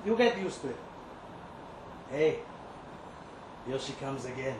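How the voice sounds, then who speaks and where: Male reader as cocky, lovesick working guy for a live story monologue; streetwise, confiding, unhurried delivery, heard in a roomy space. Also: mid-range voice, animated. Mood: brisk, instructing. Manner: colloquial, streetwise, confiding, rambling. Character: cocky, lovesick, resentful male kibbutz kitchen worker. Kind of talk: dramatic monologue read live. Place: indoor live reading event. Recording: roomy, distant live-event recording, faint noise.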